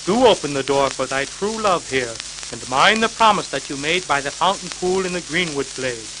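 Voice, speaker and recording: A narrator voicing a polite, pleading enchanted frog in a loud, formal, sing-song way, on an old 78 rpm record. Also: mid-range voice, expressive, moderate pace, close to an everyday voice. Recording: scratchy 1940s 78 rpm record, surface hiss.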